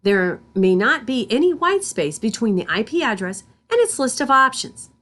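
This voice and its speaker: A woman instructor reading scripted tutorial narration in a deliberate, step-by-step manner. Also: fairly high pitch, very animated, fairly bright tone. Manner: deliberate, spells out terms symbol by symbol.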